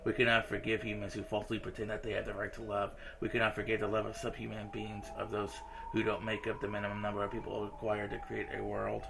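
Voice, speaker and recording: A man's voice: on the low side, monotone, fast, creaky, hardly any pauses, noisy background. A man reading visual novel dialogue aloud as a game streamer, in a casual, informal manner. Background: visual novel game music.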